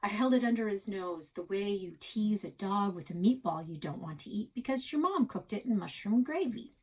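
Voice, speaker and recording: Woman, medium pitch, expressive storytelling, children's book narration, library read-aloud. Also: moderate pace.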